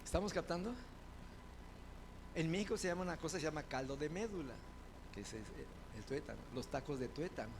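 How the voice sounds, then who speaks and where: A man preaching a sermon as pastor, building to loud fervent proclamations and dropping into quick conversational asides. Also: fairly high pitch, expressive, background noise.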